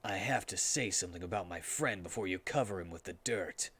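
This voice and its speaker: Brooding cartoon hedgehog, played by a man: low, hushed, grave, dramatic and loyal. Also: fairly quick, husky. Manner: solemn, dramatic speech-making.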